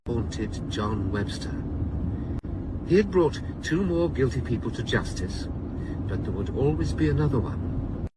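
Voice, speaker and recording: A man playing an audiobook reader who narrates a grave crime novel in a solemn, deliberate, dramatic style. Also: mid-range voice, animated, very noisy, close to an everyday voice. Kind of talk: scripted audiobook narration within radio drama. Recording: loud music, car audiobook playback. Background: loud music and car sounds.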